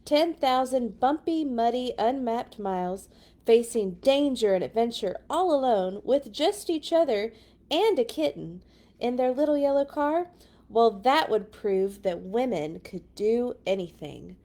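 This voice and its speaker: A woman's voice: on the high side, animated, a little slow, mellow. A woman reading a picture book aloud to children, with dramatic emphasis and chatty asides. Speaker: children's read-along storyteller.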